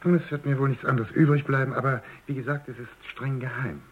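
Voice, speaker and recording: A man's voice: mid-range voice, steady tone, quick pace, phone-quality sound, slight background noise, close to an everyday voice. A man playing a diplomatic, evasive company executive who placates and obliges in a German radio drama, on a thin radio recording. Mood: reluctant, secretive.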